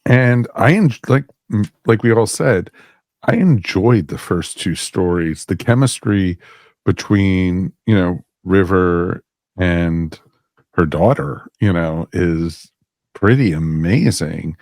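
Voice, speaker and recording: A man co-hosting a casual podcast review, relaxed and agreeable as he chimes in. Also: low-pitched, slow pace, no room sound. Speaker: podcast co-host.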